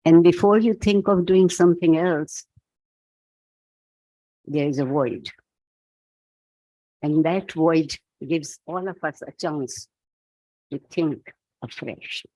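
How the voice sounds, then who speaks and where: A man with a Pakistani accent giving a reflective keynote talk, speaking in a measured, halting, philosophical way. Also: a little high, dark tone, no echo, lo-fi sound. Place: event hall.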